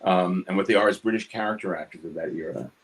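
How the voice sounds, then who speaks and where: A man in his seventies from New York City with a New York accent, talking casually about his craft in his home studio, quick and succinct, hitting consonants hard. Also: deep voice, low-quality recording. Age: 70s. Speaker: guest artist. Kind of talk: casual studio chat while drawing.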